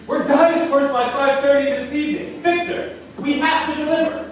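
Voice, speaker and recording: A man's voice: very high voice, fairly even intonation, normal speed. A man playing a pushy, foul-mouthed, hot-tempered salesman in a staged reading, combative and cajoling. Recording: echoey room from far off, faint music.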